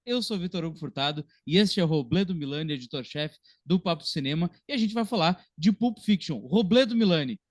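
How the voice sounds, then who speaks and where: A man's voice: a little high, expressive, a little fast, hardly any pauses, dry recording, clean studio sound. A Brazilian man in his thirties hosting a podcast, talking about film in an informal, talkative, conversational way. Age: 30s. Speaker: podcast host.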